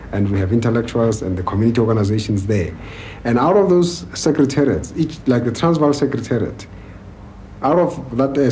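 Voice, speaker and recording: A South African man giving a documentary interview in a deliberate, formal and emphatic manner. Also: medium pitch, warm tone. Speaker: education activist interviewee. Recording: noisy 1980s newsreel location sound.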